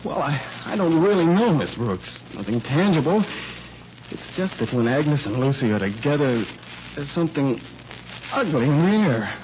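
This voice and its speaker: An actor playing an earnest, lovesick young fiancé in a radio mystery, hesitant and stammering with worry. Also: male voice, on the high side, lively intonation, normal speed, a little mellow, natural voice. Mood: uneasy, hesitant. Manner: hesitant, stammering, self-correcting. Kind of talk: 1940s radio mystery acting. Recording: muffled, faintly noisy vintage radio recording.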